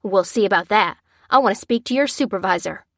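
A woman voicing a gruff, angry father in an audiobook, growling and barking with sarcastic exasperation. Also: medium pitch, moderate pace, a bit bright, no room sound, studio quality, close to an everyday voice. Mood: irate, sarcastic. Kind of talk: audiobook character dialogue.

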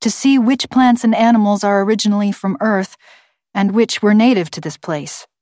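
A woman voicing a calm, practical young male in an audiobook, with an understated, drily humorous manner. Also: medium pitch, moderate pace, no echo, studio quality, pitched above the performer's own voice, lightly acted. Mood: earnest. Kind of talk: audiobook character voice.

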